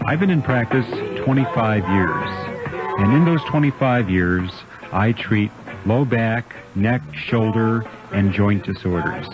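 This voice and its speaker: A middle-aged man reading his own scripted radio ad as a doctor, measured, with pauses between items. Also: fairly low pitch. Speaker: doctor advertising his practice. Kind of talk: scripted self-read radio ad. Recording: dull lo-fi radio recording with music. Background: clear music bed.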